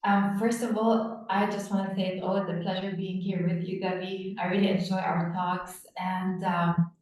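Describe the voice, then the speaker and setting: A woman in her thirties from Lebanon, a play therapist, speaking softly and reflectively with many pauses in a podcast interview. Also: medium pitch, little pitch movement. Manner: soft-spoken, reflective, with many pauses and fillers. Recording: echoey room, distant from mic.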